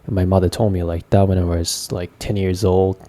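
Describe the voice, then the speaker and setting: A man's voice: low-pitched, level tone, fairly quick, dark tone. A man in his twenties from Japan with a Japanese accent, hesitantly telling his personal story in a casual vlog with many fillers and restarts. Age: 20s. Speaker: vlogger.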